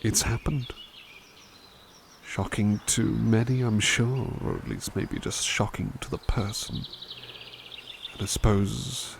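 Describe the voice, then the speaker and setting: A man's voice: fairly low pitch, creaky, a little hiss or hum, heavily acted. A man playing a kind, courteous butler who reads poems aloud and muses on them in a formal, polite way for an ASMR roleplay. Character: kind, courteous male butler reading to listener.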